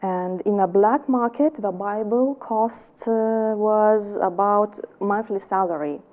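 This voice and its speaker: A woman from Lithuania with a Lithuanian accent, giving a personal testimony as an interview guest in halting English with many restarts. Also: medium pitch, darkish tone.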